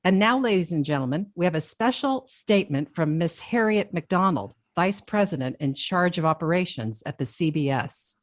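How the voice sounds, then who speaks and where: A woman plays a radio announcer reading news bulletins in a radio drama. Her voice is very low for a woman and sounds dry and close to the mic. She reads in a clear, formal, steady manner. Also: normal speed, few pauses, no echo, natural voice.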